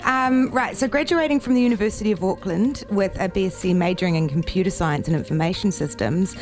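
A woman's voice: medium pitch, brisk, darkish tone, soft background music. Bubbly New woman hosting. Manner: bubbly, chatty, reads intros aloud with stumbles. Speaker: radio show host. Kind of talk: casual interview chat.

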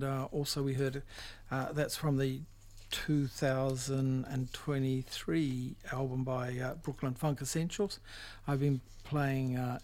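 A man's voice: mid-range voice, darkish tone. A man hosting a music radio show off the cuff, hesitant and searching for words. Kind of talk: unscripted music show commentary between tracks. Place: radio studio.

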